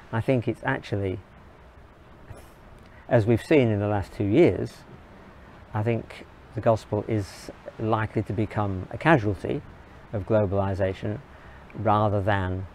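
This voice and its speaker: A man from England, giving an earnest, measured opinion monologue in short, halting phrases. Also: on the low side, darkish tone, a little hiss or hum. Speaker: video blogger.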